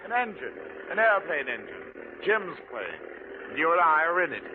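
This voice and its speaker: An actor playing a young murderer pilot in a theatrical 1940s radio crime drama style, on a tinny, noisy old recording. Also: male voice, on the high side, sing-song, unhurried. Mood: gloating. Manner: taunting, theatrical. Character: gloating young male murderer pilot, turns panicky.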